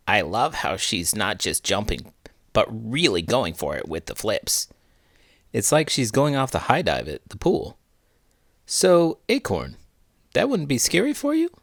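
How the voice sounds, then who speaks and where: A man giving a playful, expressive read-aloud of a picture book. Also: on the low side, slightly rough. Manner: animated, playful storytelling.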